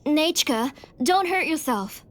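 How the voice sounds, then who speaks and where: A woman's voice: high voice, animated, slow pace, fairly bright tone, exaggerated voice. A woman voicing an anxious, devoted mother in a video game, earnest, humble and protective. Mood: worried scolding. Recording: prominent background music under the dialogue.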